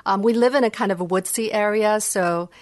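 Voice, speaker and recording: A woman's voice: medium pitch, brisk, no room sound. A middle-aged woman from Indonesia and Hong Kong, native in Indonesian and Dutch, speaking English as a gracious, reflective podcast guest. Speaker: guest, music psychology researcher. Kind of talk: conversational podcast interview. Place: remote video call from home. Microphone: video call.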